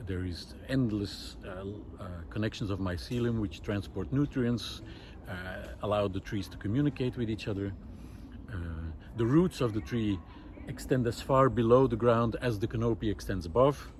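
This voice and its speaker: A man's voice: on the low side, moderate pace, darkish tone, slight background noise. A man giving an unscripted, reflective monologue outdoors in a wooded park, speaking in a thoughtful, hesitant way. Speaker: vlogger.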